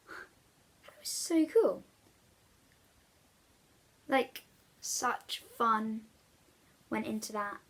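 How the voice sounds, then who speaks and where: A woman reading a children's story aloud with expressive, dramatic emphasis. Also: fairly high pitch. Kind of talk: animated read-aloud storytelling for young children.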